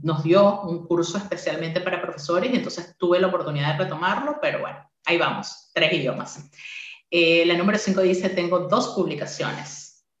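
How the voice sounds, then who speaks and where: Woman, 40s, teacher giving a conference talk, lower voice, echoey room. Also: dull audio. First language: Spanish. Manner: friendly, interactive, with frequent "eh" fillers. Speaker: university English teacher presenting at a workshop.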